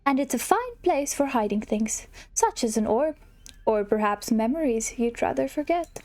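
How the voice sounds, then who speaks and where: Woman putting on a high, doddering fairy godmother. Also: expressive, normal speed. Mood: earnest. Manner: doddering, gentle, hesitant. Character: kindly, forgetful, fussing elderly fairy godmother.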